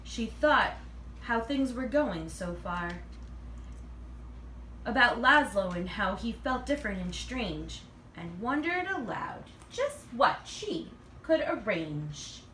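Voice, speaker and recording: A woman reads a children's picture book aloud in an expressive, animated way, acting out the dialogue. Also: on the high side, slow. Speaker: read-aloud reader. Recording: roomy, distant mic, faint noise.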